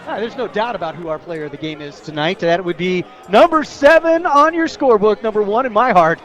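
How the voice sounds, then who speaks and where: A man's voice: sits high, lively intonation, fairly quick, a bit bright, lo-fi sound. An American man giving enthusiastic, conversational color commentary on live football radio. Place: outdoor football stadium. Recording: stadium crowd behind, outdoor game broadcast. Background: stadium crowd.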